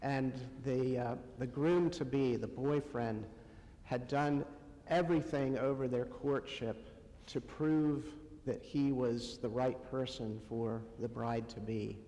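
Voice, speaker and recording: A man preaching in a conversational style, with a halting delivery that pauses often between short phrases. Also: mid-range voice, fairly even intonation, warm tone. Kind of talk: conversational sermon. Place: church sanctuary, amplified to a congregation. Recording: long hall reverb.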